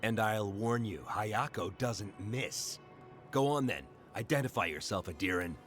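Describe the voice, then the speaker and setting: A deep-voiced male defender, blunt and commanding as he holds his post in a video game. His voice sits very low, with clear music playing behind him. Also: unhurried, fairly bright tone. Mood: firm. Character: gruff male fighter guarding a tower.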